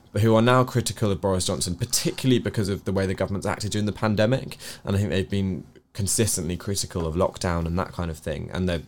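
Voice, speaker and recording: A man with an English accent speaks in a low register. He talks as a co-host on a news review, setting out his views earnestly. His delivery is conversational and explanatory, with careful hedging. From England. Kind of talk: conversational political discussion.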